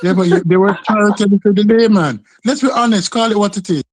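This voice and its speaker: Brief: man in his late sixties with a high voice, dry close sound, needling with repeated questions. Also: quick pace, no room sound. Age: 60s. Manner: persistent, repeats pointed questions. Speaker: podcast guest. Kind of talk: heated casual debate.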